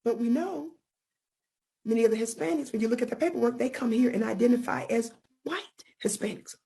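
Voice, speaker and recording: A woman's voice: fairly high pitch, fairly quick, gravelly, dark tone, slightly rough. A woman ranting in a sassy, scolding tone that mocks the people she is answering. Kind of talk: combative online rant.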